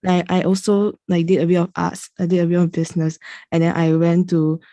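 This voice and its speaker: A woman speaks on a group video call with a mellow, slightly low voice. She muses about her own habits, leaning on "like". The sound is dry and close. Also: level tone, a little fast, no room sound. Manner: reflective, lots of "like". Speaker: nurse and participant. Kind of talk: casual group chat.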